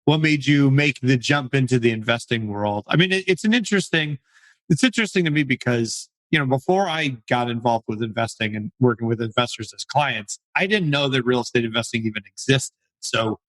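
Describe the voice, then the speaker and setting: A male podcast host talks in a hoarse voice, worn down by a cold. He is chatty and upbeat and pokes fun at himself as he runs a casual interview. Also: medium pitch, expressive, a little fast, a bit bright, low-quality recording. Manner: chatty, enthusiastic, self-deprecating jokes.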